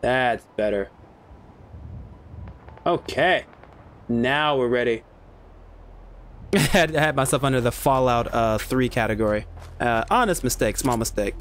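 A man's voice: mid-range voice, a little slow, pauses often, a bit bright, slight background noise. Laid-back swearing game streamer, man. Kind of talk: casual gameplay commentary.

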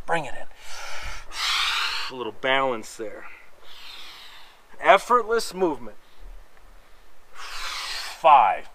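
A man's voice: mid-range voice, slight background noise. Guy in his 40s leading pilates outside, kinda nasal, huffing a bit while counting reps, punchy and jokey. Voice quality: a little nasal. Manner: energetic coaching, counting reps, joking asides. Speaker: fitness instructor. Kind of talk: workout instruction while exercising. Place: outdoor wooden deck among pine trees.

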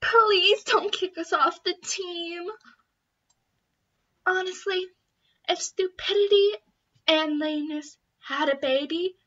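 Casting: amateur female voice actor, high pitch, unhurried audition reads, slightly dull audio. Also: sharp tone. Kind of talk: acted audition lines in various characters.

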